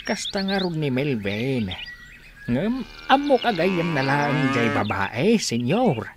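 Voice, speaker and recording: A man's voice: on the high side, sing-song, slow pace, crowd noise. An actor playing an easygoing young man with an Ilocano accent in a radio drama. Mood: placating.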